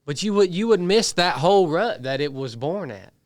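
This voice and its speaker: A man's voice: sits high, lively intonation, moderate pace, no echo, studio quality. A man in his thirties, a podcast co-host, arguing his point emphatically in casual group chat. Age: 30s. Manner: emphatic, defends his views at length. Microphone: studio podcast mic.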